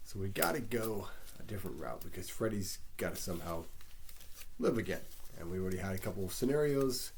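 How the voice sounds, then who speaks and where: Casting: a man, low-voiced, stuffy from a cold, rambling self-deprecating fan, faint hiss behind. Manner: rambling, self-deprecating, many ums and restarts. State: congested from a head cold. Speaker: fan pitching movie sequel ideas. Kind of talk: casual solo monologue.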